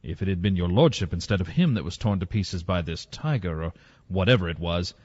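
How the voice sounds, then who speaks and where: An actor playing a polite, deferential English family solicitor, speaking formally in an audio drama. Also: male voice, sits low, animated, brisk, darkish tone, husky. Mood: respectful, informative. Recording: muffled, reverberant recording.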